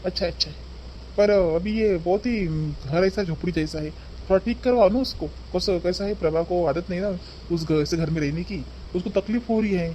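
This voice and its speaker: A man's voice: sits high, moderate pace, a little mellow, a little hiss or hum, natural voice, rough recording. A man playing a polite, advising brother-in-law in a family cartoon, with a questioning manner. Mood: reassuring, advising. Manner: questioning, code-switches between Hindi and Marathi. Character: visiting educated brother-in-law, polite, advising, mildly probing.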